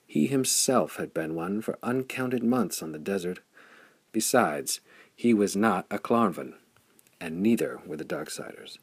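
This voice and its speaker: A man's voice: lowish voice, lively intonation, unhurried, creaky, husky, no echo. A man reading a pulp adventure story as scripted audiobook narration.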